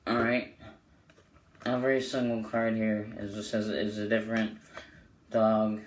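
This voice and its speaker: Young male reviewer, low-pitched and hoarse, casual rambling delivery, echoey small-room camera audio. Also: little pitch movement, unhurried. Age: young adult. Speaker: host of playing card deck reviews. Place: small bedroom, indoors. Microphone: camera mic a short way off. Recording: roomy echo, clear background noise.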